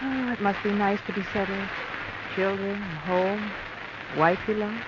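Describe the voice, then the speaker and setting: A woman's voice: mid-range voice, fairly even intonation, moderate pace, over a noisy crowd, lightly acted. Woman playing coy young femme fatale acting innocent, hesitant, old radio drama, dull noisy recording. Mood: coy, wistful.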